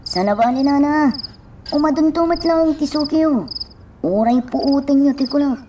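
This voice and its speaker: A woman's voice: high voice, normal speed, distant mic, background noise, natural voice. A woman with an Ilocano accent acting a deferential, concerned helper in a radio drama. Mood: polite, hesitant.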